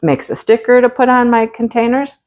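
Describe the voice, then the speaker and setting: A woman's voice: on the high side, brisk, narrow, tinny audio. Homey woman chatting thru an unboxing, kinda stuffy from a cold. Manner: chatty, homey, talks to viewers directly. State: stuffy with a slight cough. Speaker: crafting channel host. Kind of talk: casual unboxing chat.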